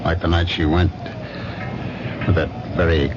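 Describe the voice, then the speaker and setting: A man's voice: low voice, level tone, measured pace, heavy background noise. An actor playing a brooding, jealous husband who tells his story intensely in a radio mystery drama. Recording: dull old radio recording, loud music behind.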